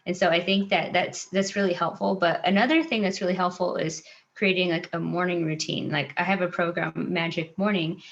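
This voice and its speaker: A woman life coach speaking as a guest on a panel, soft-spoken and reflective, pausing often with "um" and "like.". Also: fairly low pitch, fairly even intonation, vocal fry. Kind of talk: casual panel chat. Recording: dull lo-fi audio.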